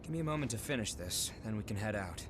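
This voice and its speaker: An actor playing a stern, principled revenant protector in a video game, measured and serious. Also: male voice, on the low side, animated, background noise, close to an everyday voice. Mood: focused. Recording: game cutscene with music behind.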